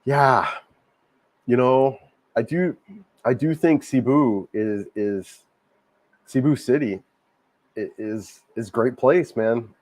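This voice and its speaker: A man hosting a casual livestream, a little hoarse from a cold, rambling and repeating himself as he answers viewer comments. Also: mid-range voice, takes it slowly, pauses often, a little mellow, dry recording. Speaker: livestream host. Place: home in Cebu, Philippines.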